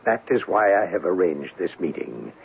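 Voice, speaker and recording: Swooping, theatrical suave warlock. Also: male voice, deep voice, very animated, moderate pace, gravelly, husky, heavily acted. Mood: sly, soothing. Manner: theatrical, ornate foreign-sounding phrasing, courteous and sly. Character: suave, eccentric male warlock and witch doctor. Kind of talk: 1970s radio drama acting. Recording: lo-fi, noisy old radio broadcast.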